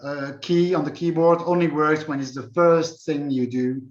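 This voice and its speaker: A man from Belgium walking through a software demo at a user group meeting, unhurried and thinking aloud with many fillers. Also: fairly high pitch, fairly even intonation, echoey room, muffled recording. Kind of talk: screen-share software demo.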